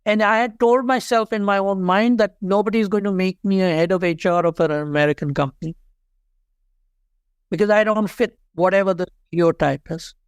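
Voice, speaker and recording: A man in his fifties from Pakistan with a Pakistani accent, telling career stories as an interview guest in an earnest, steady way. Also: high voice, clear, resonant tone, no room sound. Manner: earnest, steady, repeats key points. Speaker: guest, HR leader and author. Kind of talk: interview storytelling.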